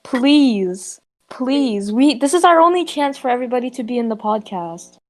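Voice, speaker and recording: A woman's voice: fairly high pitch, lively intonation, moderate pace. A woman co-hosting a podcast in casual chat with friends. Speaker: podcast co-host.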